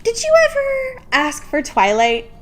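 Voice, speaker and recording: A woman's voice: sits high, huge pitch swings, unhurried. A young woman co-hosting a casual, comedic fan podcast in a playful, informal, giggly way, swearing freely. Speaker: podcast co-host.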